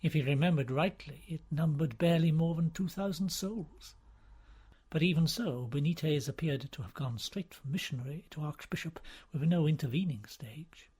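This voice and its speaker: A man reading a novel aloud as scripted narration, in a measured, formal storytelling manner. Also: a little high, darkish tone, breathy edge. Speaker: audiobook reader.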